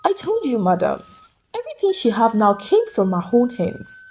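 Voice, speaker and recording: A woman's voice: fairly high pitch, huge pitch swings, normal speed, darkish tone, soft background music. Woman voicing a humble, dignified housemaid mother. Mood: earnest, pleading. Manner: respectful, earnest. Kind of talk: dramatic folktale storytelling.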